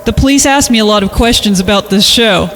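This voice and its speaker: A man's voice: high-pitched, quick pace, noisy background. A man reading a story aloud on a comedy podcast, breaking off for jokey asides and casual banter. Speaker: podcast reader.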